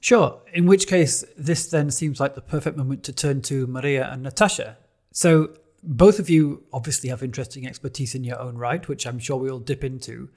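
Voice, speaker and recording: Relaxed male podcast host. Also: mid-range voice, normal speed. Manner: relaxed, lightly joking. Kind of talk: podcast interview hosting.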